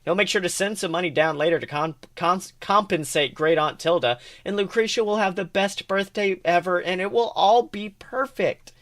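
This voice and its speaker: A man co-hosting a podcast, reading fan fiction aloud as an expressive narrator. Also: high-pitched, moderate pace, few pauses. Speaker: podcast co-host.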